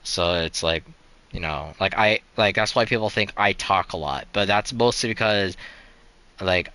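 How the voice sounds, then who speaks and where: A young man with a low, bright voice chats casually while live-streaming a game. His sound is crisp and clean. He rambles, restarts his sentences and fills them with "like" and "you know", in a flat, resigned tone. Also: moderate pace.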